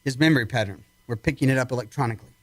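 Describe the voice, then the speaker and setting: A man's voice: medium pitch, racing, dry recording, natural voice. A man acting in an old-time radio drama as a probing, authoritative army psychiatrist with a clipped, commanding manner. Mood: commanding, intent.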